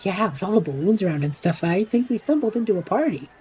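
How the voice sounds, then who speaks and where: A woman playing a cocky, cheeky young male adventurer who talks in a flippant, chatty, excitable way in a fantasy audio drama. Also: mid-range voice, fast, lightly acted.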